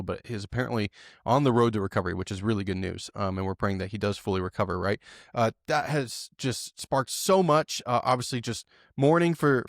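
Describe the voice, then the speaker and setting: A man's voice: lowish voice, no room sound, clean studio sound. A man co-hosting a podcast, talking through the news casually with lots of fillers and tag questions. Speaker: podcast co-host.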